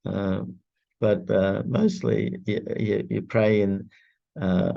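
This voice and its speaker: A man whose first language is Greek, talking in a casual group video call and pausing often with fillers. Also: fairly low pitch, flat delivery, vocal fry, lo-fi sound. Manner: halting, lots of filler pauses. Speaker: prayer group member. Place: indoor room shared with another participant.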